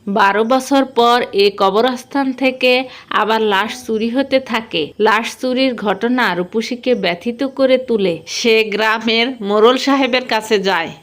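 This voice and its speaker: A woman's voice: fairly high pitch, measured pace. A woman narrating a scripted cartoon story.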